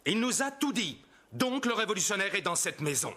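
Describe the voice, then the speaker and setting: A man playing a domineering, brutal police commissioner in a cartoon drama, barking accusations and orders with a raspy voice. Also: sits high, sing-song, a little fast, sharp tone, heavily acted. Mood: accusing, commanding. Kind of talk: animated drama dubbing.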